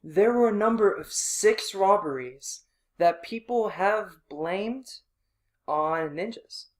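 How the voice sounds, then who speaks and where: A man's voice: high-pitched, expressive, slow pace. A man co-hosting a casual podcast chat, easygoing and self-deprecating. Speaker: podcast co-host.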